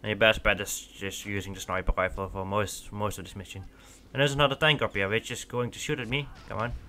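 A young man whose first language is Dutch talks through a game in English, loose and rambling, full of "uh" and "like" and restarted sentences. His voice sits fairly low but comes across bright and forceful. The sound is smooth and resonant. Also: fairly even intonation, fast. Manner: rambling, filler-heavy with many restarts. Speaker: gaming channel host. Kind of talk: casual let's play commentary.

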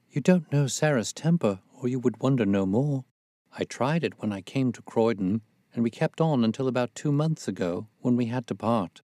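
A weary, impatient spinster of middle years, as a male narrator puts her on, pitched well above his own voice. She is plaintive and chatty, confiding grievances in old-fashioned storybook phrasing. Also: mellow, no echo, clean studio sound, natural voice. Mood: aggrieved, gossipy.

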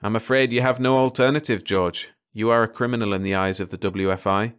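A man's voice: fairly low pitch, fairly even intonation, fairly bright tone, clear, resonant tone, lightly acted. A man acts out a grim government official from an audiobook. He is stern and formal, and phrases things pedantically. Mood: stern, accusing. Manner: formal, measured, pedantic wording. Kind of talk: audiobook character dialogue.

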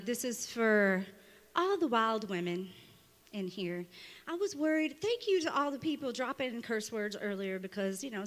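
High-voiced young woman performing poetry, reverberant hall. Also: a bit bright. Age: young adult. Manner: emphatic, theatrical delivery. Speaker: spoken word poet. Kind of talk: dramatic live spoken word poetry performance. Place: small dark theatre stage, indoors. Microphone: microphone on a stand. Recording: reverberant hall, live stage microphone.

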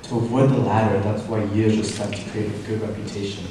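A young man performing spoken word poetry on a hall stage, dramatic, dropping to a hush and then rising forcefully. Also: fairly low pitch, flat delivery, a little fast. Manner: dramatic, swings from hushed to forceful. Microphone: handheld mic. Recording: echoey hall from far off, noisy.

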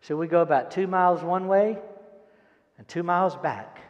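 An older man preaches a sermon and reads scripture with dramatic, emphatic delivery and deliberate pauses. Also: high voice, muffled recording. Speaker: pastor. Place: large church auditorium stage, indoors. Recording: echoey hall, long reverb.

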